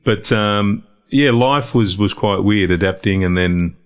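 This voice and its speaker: A middle-aged man talks in a deep voice. He speaks reflectively in a casual interview and often restarts his sentences or repeats words. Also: a little slow, smooth. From Lebanon, raised in Australia. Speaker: guest, founder of a men's health organisation.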